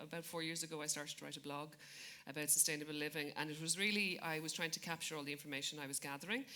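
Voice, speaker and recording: A woman's voice: sits low, pushed and bright. A middle-aged woman, a blogger, introducing herself on a panel candidly and with self-deprecation. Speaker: sustainability blogger. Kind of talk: panel discussion.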